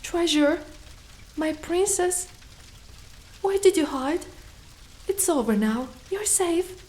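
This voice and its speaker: A woman voicing a proud, fiercely protective female dragon knight in an ASMR roleplay, speaking deliberately and often breathy or whispered. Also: very high-pitched, lively intonation, darkish tone, slight background noise, heavily acted. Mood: tender relief. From Italy.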